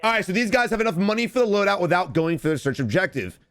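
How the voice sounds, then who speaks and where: A man in his thirties giving casual coaching commentary over gameplay from a home streaming setup, his voice a little hoarse from streaming. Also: high voice, lively intonation, fairly bright tone. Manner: rapid, repetitive, advice-giving. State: slightly hoarse from screaming on stream.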